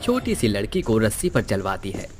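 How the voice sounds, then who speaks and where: A man narrating a scripted fantasy story in an expressive storytelling manner. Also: fairly low pitch, moderate pace, slight background noise. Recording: music under the voice. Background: music.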